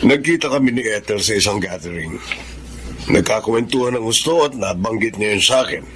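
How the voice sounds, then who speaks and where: An actor playing a caring, gently reproachful middle-aged older brother in a Filipino radio drama. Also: male voice, on the low side, animated, a little fast, background noise, natural voice. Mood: concerned, earnest.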